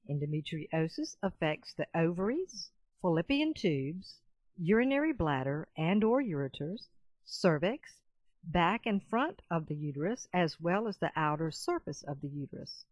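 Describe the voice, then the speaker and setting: Lady narrating a nonfiction audiobook, measured careful reading, dry dull lo-fi sound. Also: sits low, no echo. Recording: dull, muffled lo-fi voice-over.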